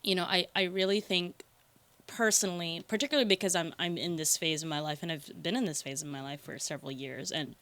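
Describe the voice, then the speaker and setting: A woman's voice: fairly low pitch, fairly even intonation, fairly quick, a bit bright, dry recording. A young woman from the Philippines, a guest speaking candidly and earnestly in a reflective interview, with frequent "like" fillers.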